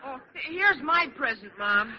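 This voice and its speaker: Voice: sits high, animated, unhurried, a loud crowd, heavy background noise. A performer playing an earnest, eager schoolboy son in a 1940s radio sitcom, with slangy boyish talk. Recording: muffled vintage radio recording.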